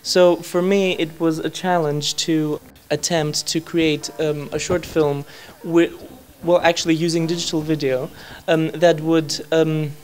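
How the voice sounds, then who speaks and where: Higher-pitched man, thoughtful. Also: level tone, unhurried, few pauses, a little hiss or hum. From Greece. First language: Greek. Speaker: filmmaker interviewed at a film festival. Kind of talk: informal on-camera interview.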